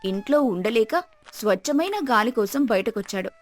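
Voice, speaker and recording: A woman's voice: mid-range voice, a little fast. A woman narrator reading a scripted moral story. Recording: music behind the voice. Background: music.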